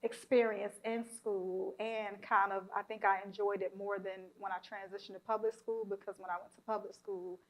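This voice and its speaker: A woman's voice: medium pitch, little pitch movement, normal speed, hardly any pauses, low-quality recording. A woman hosting a casual podcast chat, asking questions and sharing her own memories with many fillers. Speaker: podcast host.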